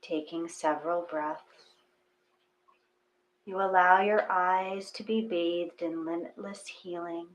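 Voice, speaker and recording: A woman with a fairly low voice leads a guided meditation. She speaks very slowly and gently, leaving long pauses between her phrases. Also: fairly even intonation, poor audio. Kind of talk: slow guided meditation reading.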